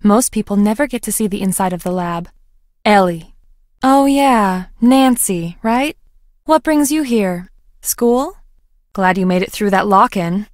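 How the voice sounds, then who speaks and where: A woman's voice: medium pitch, unhurried, dry recording, lightly acted. Woman playing a wry young lab scientist, dry recording. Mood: casual, friendly. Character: young Southern woman research scientist, wry, guarded, friendly. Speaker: voice actor in a video game. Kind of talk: video game dialogue acting.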